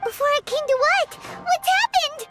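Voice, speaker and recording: A woman voicing a tiny, excitable, cheeky childlike fairy companion in a video game, with a squeaky, exclamatory delivery. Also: moderate pace. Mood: puzzled. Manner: squeaky, exclamatory, third-person self-reference. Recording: loud music behind the voice.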